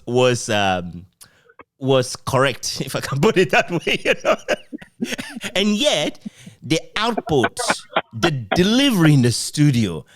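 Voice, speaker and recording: A man chats casually on radio in a fairly high voice. His pitch swings widely and his manner is jovial, with a chuckle never far away. The sound is close and dry. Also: a bit bright, dry recording. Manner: jovial, chuckles often while talking. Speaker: radio broadcaster in conversation.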